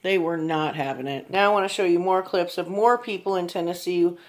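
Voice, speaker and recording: A woman's voice: lowish voice, fairly quick. A woman giving blunt, disapproving opinion commentary to her viewers as the host of a true crime video.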